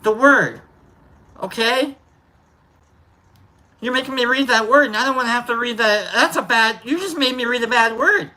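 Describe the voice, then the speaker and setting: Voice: medium pitch, normal speed, pauses often, bright, forceful tone. A live stream host with a nasal voice, chatting casually and rambling while greeting viewers by name. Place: outdoor lounge by a fire pit.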